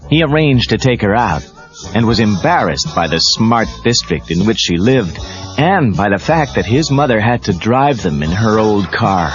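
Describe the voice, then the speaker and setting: Male storyteller for a read-along tape, low voice with animated pitch, muffled cassette sound, music behind. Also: normal speed. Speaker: narrator. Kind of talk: scripted children's read-along story narration.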